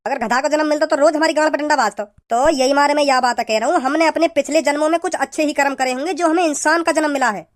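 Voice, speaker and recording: A man playing a scolding, preachy village father in a comedy cartoon, with a pitched-up voice. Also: fast, hardly any pauses. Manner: preachy, repetitive, hectoring.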